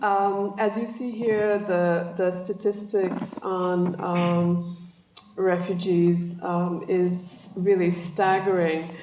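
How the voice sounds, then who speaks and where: A woman giving a panel presentation with slides, speaking haltingly with many pauses and ums. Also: lowish voice, flat delivery, a little mellow, slight background noise. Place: large hall. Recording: echoey hall from far off.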